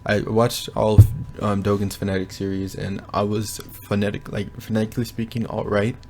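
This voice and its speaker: A young man giving a casual, rambling progress update from his bedroom, often pausing to think aloud. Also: lowish voice, flat delivery, warm tone, soft background music. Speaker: language learner vlogger. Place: bedroom at home.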